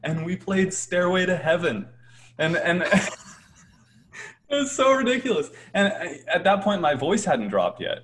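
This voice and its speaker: Lively young man on a video call. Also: high voice, measured pace, slight background noise. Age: young adult. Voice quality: light voice. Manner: animated, laughing, many restarts. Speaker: choir bass singer, guest. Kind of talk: casual video-call interview. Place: small bright room at home.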